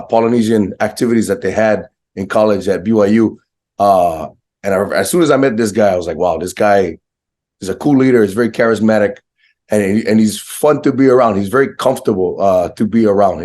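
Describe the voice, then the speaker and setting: A man with a fairly low voice, a little hoarse from getting over an illness, hosts a casual interview. He speaks informally and with brotherly slang, as if catching up with an old friend. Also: a little mellow. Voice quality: slightly hoarse. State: hoarse, recovering from a viral infection. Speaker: podcast host.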